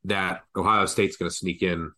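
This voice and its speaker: A man's voice: low-pitched, level tone, normal speed, bright, forceful tone. A man with a congested, stuffed-up voice from the flu, rambling through college football betting picks as a podcast co-host. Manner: rambling, thinks aloud with restarts. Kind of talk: casual betting analysis chat.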